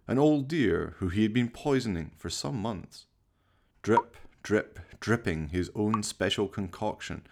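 A man playing a sinister, courtly fireside storyteller, narrating a horror radio play with slow, mock-polite, menacing theatricality. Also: on the low side.

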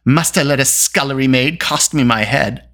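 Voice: medium pitch, moderate pace, fairly bright tone, voice pushed up, lightly acted. A storybook reader voicing a haughty male warrior with a scornful, sneering manner. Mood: contemptuous. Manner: sneering, contemptuous.

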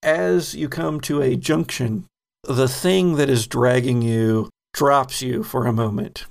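A man with a deeply gravelly, creaky voice runs a tabletop horror game as game master. He describes scenes at an unhurried pace, filling gaps with ums. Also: fairly even intonation.

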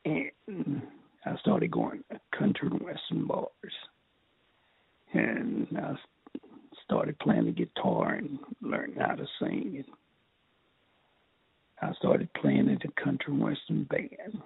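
An older man from Texas with a raspy, strained voice, telling his life story in an interview in a halting way, repeating words. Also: medium pitch, wildly swooping pitch, no room sound. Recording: tinny phone line.

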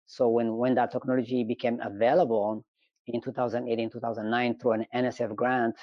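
A middle-aged man from Ethiopia speaks in a measured, storytelling way and pauses often on "uh". His pitch sits in the middle of the range. The audio is dry and slightly dull, like a remote call. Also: flat delivery, no echo. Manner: measured, storytelling, frequent hesitations. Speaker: engineering professor, guest. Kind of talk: conversational interview.

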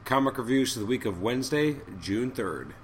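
A man hosting a podcast of off-the-cuff reviews, his voice a little hoarse and raspy from a cold, thinking aloud in a halting way. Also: medium pitch, expressive. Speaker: podcast host. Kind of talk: unscripted comic book review commentary.

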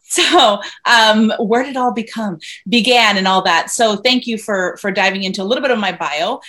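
A woman's voice: medium pitch, normal speed, sharp tone. Chatty woman investor from Venezuela. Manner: chatty, many fillers and restarts. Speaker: investor guest. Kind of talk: casual interview conversation.

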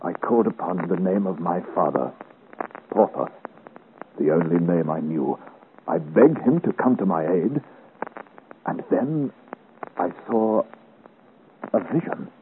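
Deep-voiced, earnest young swordsman. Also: lively intonation, a little slow, long pauses. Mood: impassioned. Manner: earnest, courtly, formal period diction. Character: earnest, gallant young swordsman of great size, seeking his father's name. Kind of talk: 1950s radio serial acting. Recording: muffled, hissy old radio serial recording.

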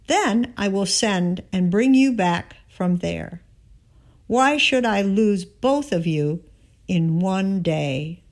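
A woman reading the words of a protective mother in a scripture story, urgent yet deliberate. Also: mid-range voice, expressive, pitched above the performer's own voice. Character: protective, urgent mother advising her son.

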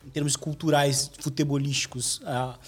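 A man's voice: medium pitch, flat delivery, moderate pace, husky, soft background music. A man hosting a podcast, asking long, rambling questions with many restarts. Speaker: podcast host. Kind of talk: casual podcast interview.

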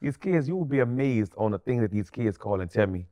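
Fast-talking New Orleans man. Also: mid-range voice, lively intonation, warm tone. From New Orleans, USA. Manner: blunt, slangy, emphatic with repeated phrases. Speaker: podcast guest. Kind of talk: casual, animated interview chat.

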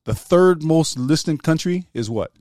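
A man's voice: a little high, expressive, unhurried, crowd noise. A man from Utah hosting a podcast in casual, joking banter with his co-hosts. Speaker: podcast host. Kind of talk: casual chat.

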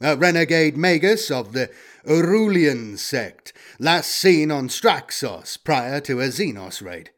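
A man's voice: on the high side, very animated, takes it slowly, a bit bright, full character voice. A man voicing a cold, logical war robot in an audiobook, with clipped, formal machine phrasing. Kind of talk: audiobook character voice.

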